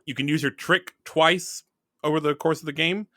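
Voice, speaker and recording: A man's voice: fairly high pitch, steady tone, moderate pace, pushed and bright, dry recording, studio quality. A man hosting a podcast, chatting casually with a wry, joking manner. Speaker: podcast host. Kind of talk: casual scripted-game podcast introduction and chat.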